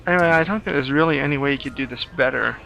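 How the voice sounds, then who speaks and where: A man's voice: mid-range voice, rapid-fire, gravelly, sharp tone, low-quality recording. A man giving casual playthrough commentary over a video game, repeating words as he reacts to the play. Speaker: gamer and screenwriter commentator. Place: recorded over gameplay with music.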